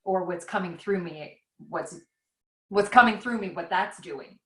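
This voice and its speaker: A woman's voice: lowish voice, a little slow, pushed and bright. Rambling, reflective woman in an echoey room. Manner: rambling, reflective, self-questioning. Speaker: author. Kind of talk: casual conversation over a call. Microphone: video call. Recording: roomy echo.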